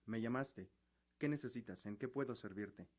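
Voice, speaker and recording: A man's voice: medium pitch, normal speed, muffled recording, close to an everyday voice. An actor playing a respectful, earnest young heir and officer, loyal to his father, in a fantasy radio drama.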